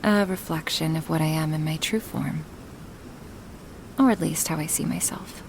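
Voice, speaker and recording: A huge, gentle dragoness acted by a woman speaks in a lowish, creaky voice, soft and close as in an ASMR roleplay. Her wording is formal and earnest, with an affectionate, half-whispered delivery. A faint noise sits under the recording. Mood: proud, intimate. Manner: slow, intimate, breathy whispering in places, formal wording.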